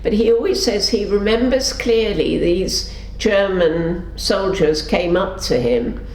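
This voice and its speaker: A woman's voice: animated, takes it slowly, a little mellow. An older woman recounting her memories in an oral history interview, voicing the people in her stories as she goes. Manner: storytelling, acts out quotes with pitch shifts. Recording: roomy sound with faint background noise.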